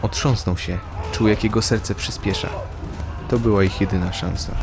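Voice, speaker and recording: A man's voice: lowish voice, steady tone, normal speed. A man narrating a scripted fantasy audio drama as a storyteller. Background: loud music.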